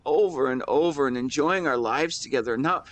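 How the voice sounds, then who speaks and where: A man playing a shy young man in love in a romantic audio roleplay, speaking in an earnest, halting, emotional way. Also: medium pitch, no room sound, close to an everyday voice. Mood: relieved, wistful. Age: young adult. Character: shy young man tenderly confessing love to best friend.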